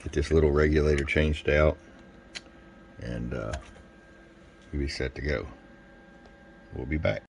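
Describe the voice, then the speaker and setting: A man's voice: a bass voice, fairly even intonation, measured pace, pauses often. A man giving a casual, folksy technical product demonstration as a radio technician and seller. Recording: clear background noise, room ambience.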